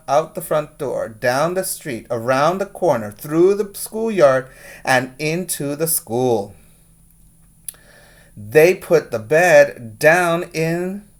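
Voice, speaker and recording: Guy teacher reading a kids story out loud, takes it slow, really expressive. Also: a little high. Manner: slow, deliberate, with dramatic emphasis. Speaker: preschool teacher reading a picture book aloud. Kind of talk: expressive read-aloud storytelling for young children.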